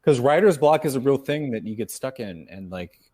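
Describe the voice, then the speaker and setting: A man's voice: mid-range voice, animated, fairly quick, warm tone. Earnest, chatty man in his thirties. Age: 30s. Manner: earnest, chatty, explains at length. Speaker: podcast guest, teacher. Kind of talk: casual podcast chat.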